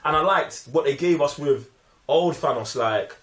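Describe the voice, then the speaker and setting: A man's voice: fairly high pitch, normal speed, fairly bright tone. A young man with a London accent giving casual, emphatic film opinions full of slang. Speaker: film review show host. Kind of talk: casual movie review chat. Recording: echoey room.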